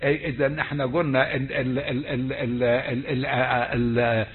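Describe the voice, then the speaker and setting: Egyptian lecturer over a tinny call line. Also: male voice, medium pitch, level tone, background noise. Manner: slow, deliberate, stretches words and restarts phrases. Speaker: university lecturer. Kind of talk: online lecture on rhetoric. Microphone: computer mic on a video call. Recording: tinny phone-like call audio.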